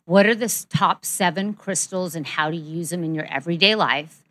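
Rambling lower-voiced woman guest. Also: brisk, a bit bright, no room sound. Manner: rambling, trails off with fillers. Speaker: guest, brand co-founder. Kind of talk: casual podcast chat.

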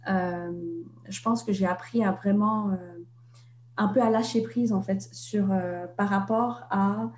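A young woman from Vietnam speaks as an author being interviewed. Her voice is mellow and soft, and she hesitates often on fillers and restarts. The recording is roomy and echoey, with a faint hum behind her. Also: medium pitch, steady tone, unhurried. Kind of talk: reflective interview conversation.

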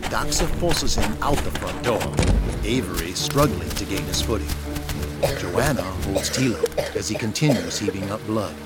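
Casting: male audio drama narrator, lowish register, scripted storytelling, prominent music underneath. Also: animated, normal speed.

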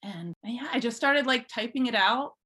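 Female podcast guest, informal and chatty, relaxed personal storytelling in everyday conversational language. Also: a little high, normal speed, slightly rough. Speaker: podcast guest, fan fiction writer. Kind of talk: casual chat, telling personal story.

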